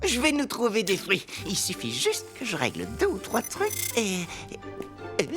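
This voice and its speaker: A woman voicing an eager, cocksure young boy inventor and adventurer in a cartoon, excitable and know-it-all. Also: mid-range voice, moderate pace, music in the background. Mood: boastful. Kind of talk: French cartoon voice acting.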